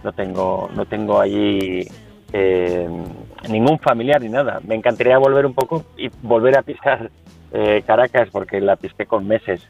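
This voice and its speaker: A man from San Sebastián, a musician guest in a casual radio interview, talking haltingly with many restarts. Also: fairly low pitch, steady tone, creaky, a little mellow, dull audio, soft background music. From Venezuela, raised in San Sebastián.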